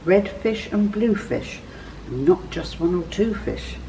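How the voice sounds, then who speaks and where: A woman reading a scripted children's story as a church storyteller, with expressive, clearly phrased delivery. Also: fairly low pitch, takes it slowly, far from the mic, a little hiss or hum, low-quality recording.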